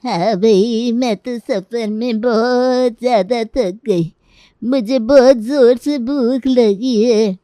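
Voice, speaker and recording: A woman's voice: fairly high pitch, takes it slowly, mellow, no room sound, clean studio sound. A woman voicing an elderly grandmother-in-law in a storybook tale, hungry and grumbling with complaint. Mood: tired.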